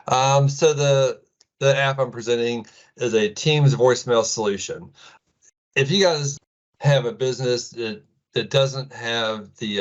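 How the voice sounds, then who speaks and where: A middle-aged man with an American accent giving an informal tech presentation in a casual, halting way full of ums. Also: fairly low pitch, highs cut off. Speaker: presenter, IT administrator.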